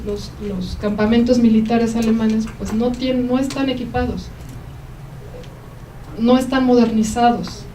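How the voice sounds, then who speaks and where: Mexican woman lecturer, soft mellow voice, lots of ums, echoey hall, mic far off. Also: a little high. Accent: Mexican Spanish. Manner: explanatory, pauses often with eh and em. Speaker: guest lecturer. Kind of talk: university lecture answering audience questions. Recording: roomy hall, mic at a distance, faint noise.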